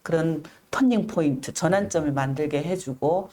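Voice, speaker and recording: A middle-aged woman speaks in an interview, her voice sitting a little low. She talks in an earnest, measured way, with frequent pauses and careful, deliberate pronunciation. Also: warm tone, slight background noise. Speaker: interview guest, activist. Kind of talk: reflective personal storytelling in an interview.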